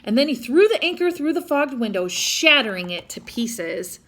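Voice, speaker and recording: High-pitched woman reading a children's story. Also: normal speed. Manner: expressive, addressing young listeners. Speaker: storytime reader. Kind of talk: children's picture book read-aloud with chatty asides.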